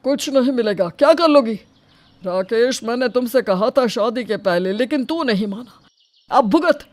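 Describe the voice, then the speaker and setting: A fairly high voice plays a suspicious parent of the groom , acted by a woman. The delivery is indignant and scolding, full of exclamations for a family drama story. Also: lively intonation, normal speed, a little mellow, no echo, natural voice.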